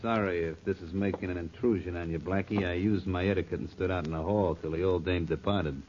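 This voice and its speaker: Man acting mock-polite low-voiced American gangster gunman. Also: steady tone, brisk, darkish tone, natural voice. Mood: apologetic, menacing. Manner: mock-polite, flowery vocabulary in rough street grammar. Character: polite, apologetic gangster gunman with pompous malapropisms. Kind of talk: 1940s radio crime drama acting. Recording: muffled, noisy 1940s radio recording.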